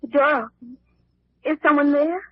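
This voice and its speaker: An actress playing a troubled young heiress, plaintive and drowsy, in an old radio mystery. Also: female voice, high voice, moderate pace, slight background noise, close to an everyday voice. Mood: drowsy, pained. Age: young adult. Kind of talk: 1940s radio mystery acting. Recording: dull old radio recording.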